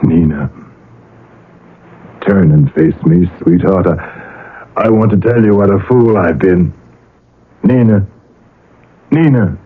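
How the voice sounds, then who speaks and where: Tormented father for radio drama: very low, slow-paced, intense, heard through muffled old radio. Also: slightly rough, heavily acted. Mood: tender, remorseful. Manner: slow, deliberate, intense delivery. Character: anguished father Max, brooding, guilt-ridden, driven to violence. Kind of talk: radio drama acting and first-person narration. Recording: muffled phone-like old radio, faint music.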